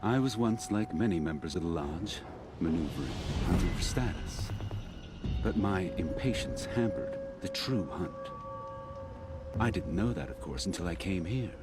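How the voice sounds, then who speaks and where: A man playing a solemn, patient keeper of hunting grounds in a video game, with measured, deliberate speech. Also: deep voice, animated. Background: music.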